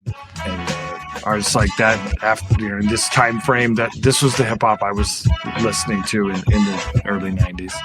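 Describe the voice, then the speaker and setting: A man's voice: on the low side, steady tone, moderate pace. A man co-hosting a podcast, reminiscing casually about music. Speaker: podcast co-host.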